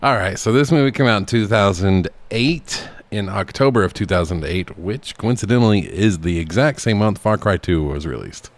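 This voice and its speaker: A man's voice: fairly low pitch, expressive, normal speed, few pauses. A man co-hosting a podcast, casually discussing a movie in a profane, exasperated manner. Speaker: podcast co-host.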